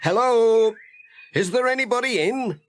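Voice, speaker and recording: A man's voice: very high voice, measured pace, background noise, pitched above the performer's own voice. A man playing a friendly village postman in a children's audiobook, cheerfully musing aloud to himself and his cat. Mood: cheery. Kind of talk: children's audiobook character acting.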